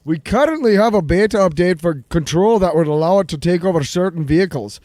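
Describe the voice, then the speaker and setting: An actor playing an eager, deferential research chief in an audio drama, explaining things precisely and proudly. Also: male voice, high voice, expressive, fairly quick, a little mellow, no echo, clean studio sound. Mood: explanatory, cautious.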